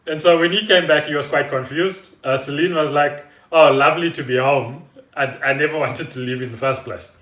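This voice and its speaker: A man's voice: fairly high pitch, fairly even intonation, a little fast, fairly bright tone. Man, roomy and distant sound. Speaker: podcast co-host. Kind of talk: casual podcast chat. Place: indoors at home.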